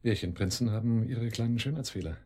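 An actor playing a cold, calmly cruel crime boss in a radio drama, commanding, sardonic and deliberate. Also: male voice, mid-range voice, breathy edge, lightly acted. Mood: coldly menacing. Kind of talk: German radio drama acting.

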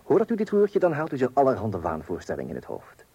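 An actor playing a bumbling, long-suffering husband in a comic radio play, husky, hesitant and muttering. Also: male voice, medium pitch, huge pitch swings, fast talker, mellow, muffled recording, full character voice. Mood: wry, confiding. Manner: hesitant, muttering, repeating himself. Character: bumbling, gullible, long-suffering middle-class husband and homeowner.

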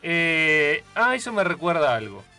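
A male radio host with a Buenos Aires accent chats casually as he reads listeners' messages. His voice is rather high and bright, with a lively, varied pitch. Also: measured pace, soft background music. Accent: Argentine, Buenos Aires.

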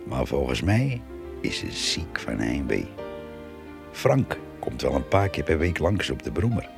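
A concerned, plain-spoken narrator in a radio play monologue. His very deep, gravelly voice moves slowly and reflectively, with music underneath. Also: big pitch swings, full character voice. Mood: worried, reflective. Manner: colloquial, reflective. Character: concerned, plain-spoken male narrator telling of an old neighbour. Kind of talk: intimate radio drama monologue.

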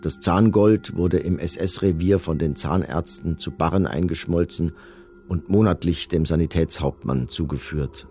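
A man's voice: deep voice, a little slow, a little mellow, soft background music. A man playing a cold, bureaucratic camp officer, reading orders and records formally in a documentary radio drama. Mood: cold, detached.

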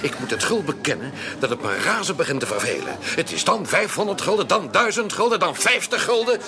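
Blustering gentleman, high swooping voice. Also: sing-song, a little fast. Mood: exasperated. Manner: exasperated, blustering. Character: irascible, blunt gentleman fed up with a sponger. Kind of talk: Dutch radio drama acting. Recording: radio play with crowd noise behind.

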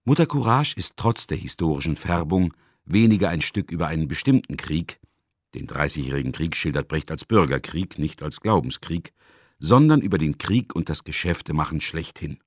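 Man, very deep bass voice, radio presenter, measured emphatic delivery, dry studio sound. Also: animated, no room sound. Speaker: radio presenter introducing a classic play. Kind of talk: scripted literary commentary.

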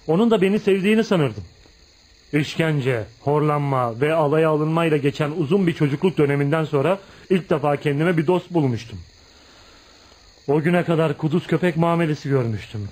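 An actor in a radio play voicing an embittered, proud young outcast who confides in a dramatic, emphatic storytelling manner. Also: male voice, on the high side, brisk, a little mellow, close to an everyday voice. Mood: pained, confiding. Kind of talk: radio theatre acting.

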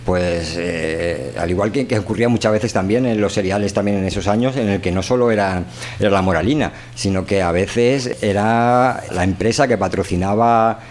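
A man's voice: on the low side, normal speed, a little mellow. A man with a Castilian Spanish accent hosting a radio show in an informal, colloquial, rambling way. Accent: Castilian Spanish, Spain. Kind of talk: informal, chatty monologue and discussion.

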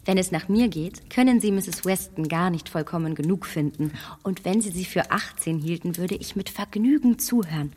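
A man playing genial older gentleman. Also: high-pitched, normal speed, big-room sound, slight background noise, natural voice. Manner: genial, teasing. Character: genial older gentleman Mr Weston, doting husband. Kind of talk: German radio play acting.

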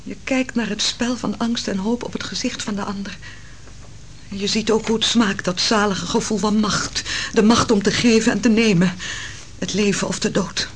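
A woman's voice: medium pitch, fairly even intonation, normal speed, slight background noise, close to an everyday voice. A woman acting an anguished, virtuous wife in a Dutch radio play, telling her story in a solemn, dramatic manner. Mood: bitter, intense. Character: Susanna, anguished virtuous wife of a royal counsellor.

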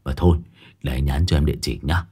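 A man voicing a sly young sidekick who mumbles low asides into a phone. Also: fairly even intonation, fast talker, dark tone, husky, full character voice.

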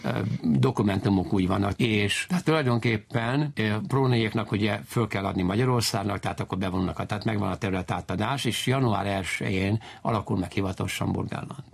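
A man giving an unscripted historical explanation as an interviewed historian, halting and digressive, often correcting himself. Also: fairly low pitch, gravelly, husky.

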